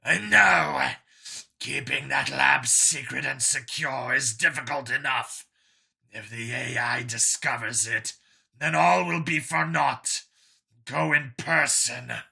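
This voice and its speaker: An irate, imperious dragon overlord of an audiobook acted by a man. He speaks slowly and loudly, with a sharp, rough-edged voice full of contempt for his underlings. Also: mid-range voice, heavily acted. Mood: angry, commanding. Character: sickly, irate dragon overlord barking orders. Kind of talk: audiobook character voice.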